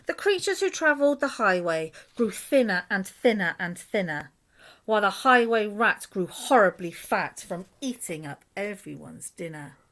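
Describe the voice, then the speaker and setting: A woman narrates a rhyming picture book for young children. Her voice is mid-pitched, and she tells the tale expressively, following the bounce of the verse. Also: sing-song, normal speed, a bit bright. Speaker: storyteller reading to schoolchildren.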